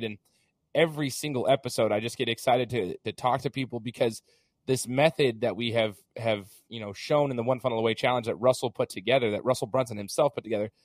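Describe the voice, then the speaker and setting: A man hosting a podcast interview in a casual, chatty way, hoarse as he gets over an illness. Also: medium pitch, dry recording. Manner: chatty, many fillers and restarts. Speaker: podcast host.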